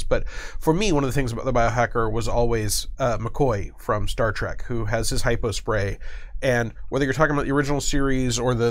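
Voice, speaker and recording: A game designer guest speaks in a studio interview. He is a man with a medium-pitched voice. His explanations are wordy and emphatic, laid out deliberately. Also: no room sound.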